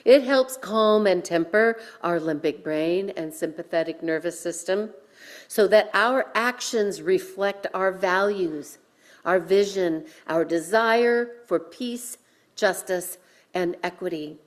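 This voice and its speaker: A woman minister delivering a sermon with measured, deliberate phrasing and pauses, guiding listeners in a reflective way. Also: lowish voice. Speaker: minister leading a worship service. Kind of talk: sermon with guided breathing.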